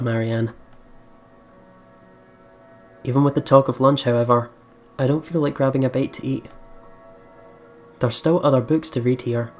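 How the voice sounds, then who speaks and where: Chatty mid-pitched let's play host over music. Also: a little mellow. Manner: chatty, informal, swears freely. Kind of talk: casual game commentary mixed with reading aloud.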